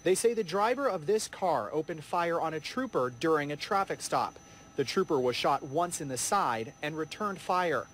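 A man's voice: a little high, expressive, normal speed, fairly bright tone, slight background noise. A man as a field reporter, delivering a live, scripted news report from an outdoor location.

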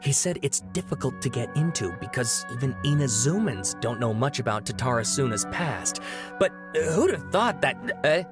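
An earnest, awkward scholar from a video game, performed by a man. He speaks emphatically with swooping, animated intonation at a middling pitch, over clear background music. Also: normal speed, lightly acted. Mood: earnest, defensive. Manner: hesitant, stammering, emphatic. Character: earnest, flustered male scholar, socially awkward student. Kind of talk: video game dialogue acting.

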